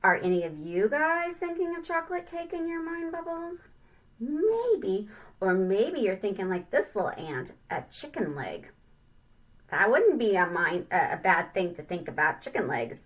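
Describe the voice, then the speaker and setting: A woman teacher reading a picture book aloud to young children, with simple, expressive explanations in between. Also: mid-range voice, measured pace.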